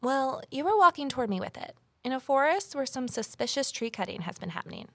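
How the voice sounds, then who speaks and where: Rookie conservation officer, earnest, inquisitive, slightly high voice, dry close sound, naturalistic audio drama. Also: female voice, big pitch swings, a little fast, sharp tone, dry recording, heavily acted. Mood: nervous. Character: eager, flustered amateur sleuth, rookie conservation officer. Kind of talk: naturalistic audio drama acting with first-person narration.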